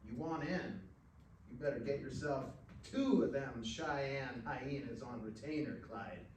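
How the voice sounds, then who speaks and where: A man's voice: medium pitch, expressive, measured pace, a little mellow, close to an everyday voice. A man voicing a wily old American rodeo cowboy in a folksy, salesmanlike storybook manner. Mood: sly pitching. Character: wily old rodeo-veteran rope braider, cowboy hustler. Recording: roomy, distant stage recording, faint noise.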